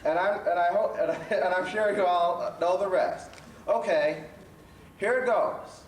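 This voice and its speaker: High-voiced man as a jovial storytelling professor. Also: unhurried. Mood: genial. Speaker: host. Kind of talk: children's stage show storytelling. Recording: roomy, distant stage recording with faint noise.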